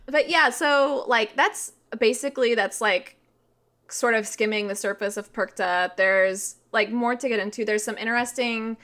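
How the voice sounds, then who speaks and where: Bright-voiced thirty-year-old woman chatting informally. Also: a little high, moderate pace. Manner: informal, animated explaining with frequent "like". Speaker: podcast guest, writer and podcaster. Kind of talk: casual interview chat.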